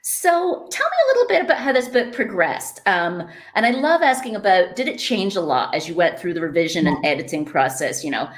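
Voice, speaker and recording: A woman with an enthusiastic, encouraging manner, hosting a podcast and interviewing an author in a conversational way. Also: on the low side.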